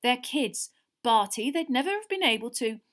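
A woman voicing a gentle, sensible adult witch in a storybook, with hushed, reasoning delivery. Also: a little high, expressive, normal speed, pitched above the performer's own voice. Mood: pleading.

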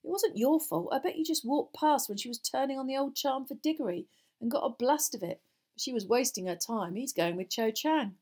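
A woman's voice: sits high, brisk, a little mellow, pitched above the performer's own voice. A woman voicing an awkward, earnest teenage schoolboy in a children's fantasy audiobook. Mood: reassuring.

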